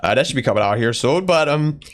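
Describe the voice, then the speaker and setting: A man's voice: mid-range voice, lively intonation, fast talker. A man doing casual, rambling, slangy YouTuber Q&A in a put-on, strained voice that imitates another creator. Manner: slangy bro-talk, rambling. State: voice sore from straining. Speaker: YouTuber answering viewer questions. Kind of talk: casual Q&A vlog chat in character.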